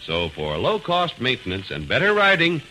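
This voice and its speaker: A man's voice: medium pitch, huge pitch swings, normal speed, bright, forceful tone, a little hiss or hum, rough recording. A man with an American accent reading scripted 1940s radio announcements in a polished, emphatic salesman's delivery. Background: music.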